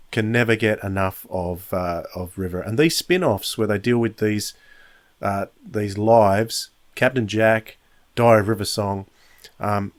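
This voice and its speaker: A man hosting a review podcast alone, talking casually like an enthusiastic fan. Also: on the low side. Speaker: podcast host.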